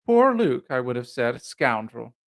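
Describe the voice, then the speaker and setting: A man voicing a playful, courteous young woman with an arch, quick wit in an audiobook novel. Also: mid-range voice, sing-song. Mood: teasing.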